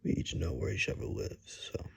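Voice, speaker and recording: A man playing a gruff, wry forest ranger and monster hunter in an ASMR roleplay, speaking in a hushed, halting way with dry humor. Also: close to an everyday voice. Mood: wry, relaxed. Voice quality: very deep, gravelly, husky. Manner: slow, halting, hushed, dryly joking. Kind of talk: ASMR roleplay, one-sided conversation.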